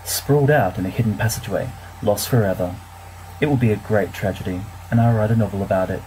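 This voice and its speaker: A man's voice: fairly low pitch, mellow, a little hiss or hum, close to an everyday voice, low-quality recording. A man playing a bookish, dryly witty teenage schoolboy, reading his letters aloud in a wry, wordy, mock-solemn manner. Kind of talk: reading letters aloud in character, audiobook-like fan recording.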